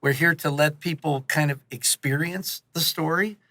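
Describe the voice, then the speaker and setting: A man's voice: a little high, normal speed, sharp tone, no echo. A middle-aged man chatting casually as a podcast guest, telling anecdotes in a conversational way. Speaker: guest, audio series creator. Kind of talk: casual podcast interview chat.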